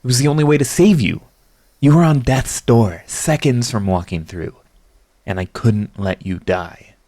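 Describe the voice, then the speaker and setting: A man's voice: mid-range voice, lively intonation, moderate pace, dark tone, no echo, close to an everyday voice. A man playing a proud villain who is secretly devoted to his rival, in an intimate, emotional audio roleplay. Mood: defensive, intense. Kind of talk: dramatic ASMR audio roleplay.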